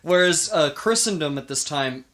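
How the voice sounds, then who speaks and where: A man's voice: a little high, animated, moderate pace, a bit bright. A man hosting a podcast, telling history in an informal, emphatic way with casual banter.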